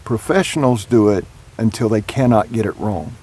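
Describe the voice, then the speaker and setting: A man hosting a casual interview outdoors at a Florida camp, enthusiastic and quick to agree. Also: on the low side, lively intonation, mellow, breathy edge. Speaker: host.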